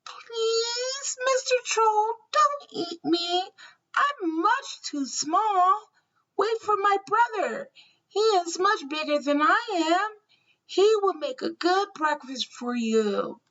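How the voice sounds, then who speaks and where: A woman's voice: extremely high pitch, steady tone, slow pace, creaky, sharp tone, distant mic, dull audio, pitched above the performer's own voice, exaggerated voice. A woman voicing a small, timid young billy goat in a storybook, with a tiny, pleading, childlike delivery. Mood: frightened, pleading.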